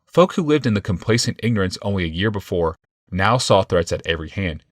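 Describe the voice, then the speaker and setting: Low-voiced man narrating fantasy audiobook. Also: expressive, a little fast, dry recording, studio quality. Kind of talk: dramatic scripted narration of fantasy fiction.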